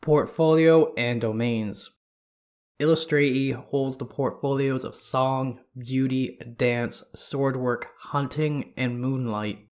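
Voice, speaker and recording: A man hosting a podcast, sounding congested as he reads lore in a steady, measured way. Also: mid-range voice. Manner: steady, measured reading of reference material. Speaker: podcast host. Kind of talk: scripted lore narration.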